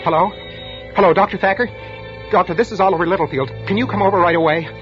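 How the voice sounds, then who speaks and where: An actor playing a nervous, guilt-ridden husband who narrates his own crime in an intense, halting 1940s radio style. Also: high-pitched, a bit bright. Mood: shaken, distraught. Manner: intense, haunted, halting delivery. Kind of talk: 1940s radio thriller acting, first-person narration. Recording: muffled vintage radio broadcast, music underneath.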